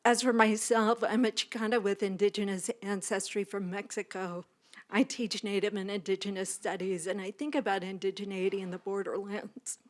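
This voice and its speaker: A woman professor giving a formal land acknowledgement at a graduation ceremony, in a measured, earnest delivery that is partly read aloud. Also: mid-range voice, level tone.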